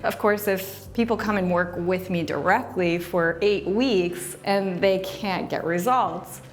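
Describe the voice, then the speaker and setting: A woman's voice: medium pitch, takes it slowly, a little hiss or hum. A woman talking candidly to camera about the programme she runs, rambling and self-deprecating. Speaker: agency coach and show host.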